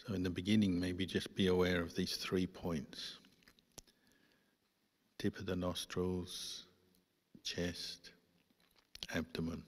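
Man, very low voice, monotone delivery, meditation teacher, calm measured phrasing, long hall reverb. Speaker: Buddhist monk meditation teacher. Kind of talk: guided meditation instruction.

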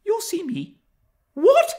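Man voicing a spoiled little girl, very high-pitched. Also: wildly swooping pitch, unhurried, heavily acted. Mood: indignant. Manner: haughty, demanding, exclamatory. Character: spoiled, imperious, indignant young gentry girl.

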